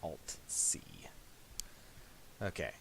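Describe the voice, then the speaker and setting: A man giving a casual software tutorial, speaking haltingly and restarting his phrases as he explains each step. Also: whispered. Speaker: tutorial presenter.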